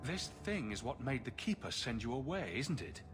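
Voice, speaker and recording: A man's voice: medium pitch, very animated, normal speed, over music, natural voice. An actor playing a wry, skeptical male adventurer in a fantasy video game, blunt and sardonic. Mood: probing, skeptical. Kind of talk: video game dialogue acting.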